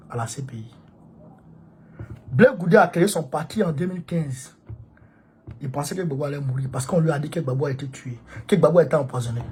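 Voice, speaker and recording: A man's voice: a little high, a little mellow, husky. A man, who has a cold, giving an impassioned political monologue to supporters in an emphatic, repetitive, preachy way. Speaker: political activist addressing supporters.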